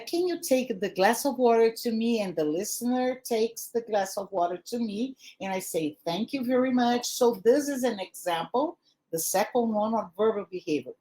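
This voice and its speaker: A woman's voice: mid-range voice, darkish tone. A woman with a Brazilian accent, a native Portuguese speaker, giving an academic lecture over a video call with frequent fillers and restarts. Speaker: guest lecturer.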